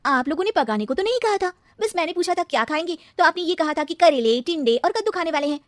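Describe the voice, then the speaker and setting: A woman voicing a sharp-witted young bride in a cartoon, exasperated and emphatic. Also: very high-pitched, animated, fast talker, no echo, studio quality. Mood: sly retort. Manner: emphatic, incredulous questioning. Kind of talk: animated cartoon dialogue.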